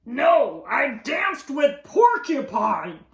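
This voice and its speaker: Woman as sore grumpy male storybook animal, gravelly, emphatic, glum, roomy echo. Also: high-pitched, sing-song, very slow, heavily acted. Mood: glum, aching. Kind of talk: children's picture-book read-aloud.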